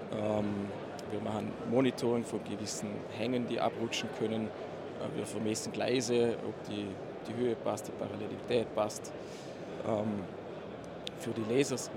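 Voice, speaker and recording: A man with an Austrian accent gives an informal technical presentation as a surveyor, speaking haltingly and holding back his dialect. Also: mid-range voice, creaky. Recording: loud background noise, live talk.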